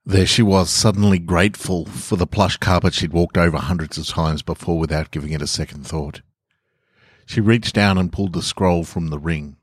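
A man's voice: low voice, normal speed, breathy edge, dry recording, clean studio sound. A man hosting a podcast, talking casually and reading short stories aloud as narrator. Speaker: podcast host and story reader.

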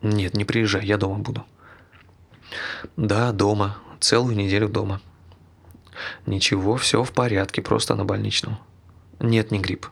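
A man's voice: lowish voice, level tone, a little slow, gravelly, long pauses, breathy edge, exaggerated voice. An actor playing a tired, guarded ordinary man in a naturalistic stage drama, answering tersely and with understatement. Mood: gentle reassuring.

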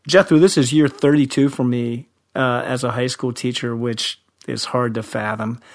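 A man's voice: mid-range voice, fairly even intonation, moderate pace. A man, a friendly, self-deprecating podcast guest with a young-sounding voice who laughs often. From Central Ohio, USA. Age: 50s. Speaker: high school teacher, guest. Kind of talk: podcast interview.

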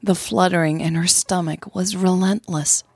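A woman narrates an audio drama in a husky, slightly breathy voice. The recording is very dry, with no room around her words. She reads as a scripted storyteller. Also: on the low side, fairly even intonation, normal speed, dry recording.

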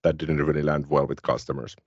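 A Finnish man co-hosting a tech podcast, his voice very low and even. He explains things in a relaxed, conversational way. The sound is dry and a little dull. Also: fast talker, husky, dry recording. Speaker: podcast co-host. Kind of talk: casual tech chat.

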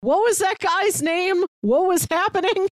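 A woman's voice: very high voice, a little slow, no room sound, clean studio sound. A woman co-hosting a podcast, talking in a casual, conversational way about what she watches and reads. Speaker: podcast co-host. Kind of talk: casual review podcast chat.

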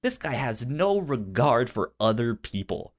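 A man co-hosting a podcast with casual film commentary, halting and stuttering on repeated sounds and words. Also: medium pitch, big pitch swings. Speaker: podcast co-host.

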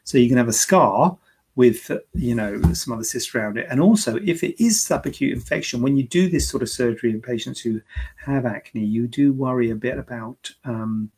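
Man, plastic surgeon, live Q&A host, gravelly, slightly husky, chatty and joking, plain-spoken medical explanations. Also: medium pitch, moderate pace, a little mellow, low-quality recording. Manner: chatty, joking, self-deprecating asides. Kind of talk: live-streamed Q&A, casual chat.

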